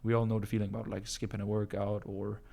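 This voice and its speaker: A Danish man whose first language is Danish, talking casually in a live Q&A about business, often calling his listeners bro and filling pauses with um and uh. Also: lowish voice, completely flat delivery, gravelly, dark tone. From Denmark. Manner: casual, calls listeners bro, many ums and uhs. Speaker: business coach answering viewer questions.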